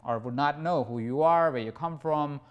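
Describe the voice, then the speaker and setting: A man's voice: on the high side, moderate pace, highs cut off. A man hosting a coaching masterclass, speaking in a motivational teaching way, encouraging and repetitive, prompting listeners to reflect.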